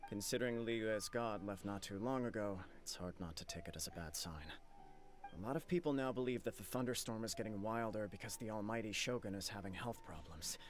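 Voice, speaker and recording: Man acting earnest, low-voiced young clan head. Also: lightly acted. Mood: grave, worried. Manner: formal, measured, grave. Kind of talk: dramatic game dialogue. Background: soft game music.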